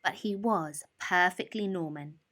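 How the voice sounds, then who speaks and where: A woman storyteller reading a children's picture book expressively, with deliberate phrasing, drawn-out emphasis and dramatic pauses. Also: lowish voice, a bit bright. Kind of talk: expressive bedtime story narration.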